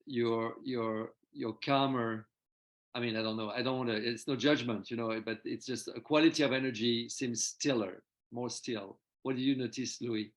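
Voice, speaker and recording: A man's voice: mid-range voice, steady tone, moderate pace. A man co-hosting a casual discussion, hesitating and repeating words as he works out his thoughts. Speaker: co-host.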